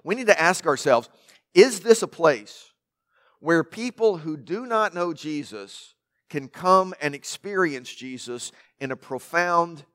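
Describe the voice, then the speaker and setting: Man, pastor, loud voice, slightly high pitch, emphatic sermon delivery. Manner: emphatic preaching with rhetorical questions and building lists.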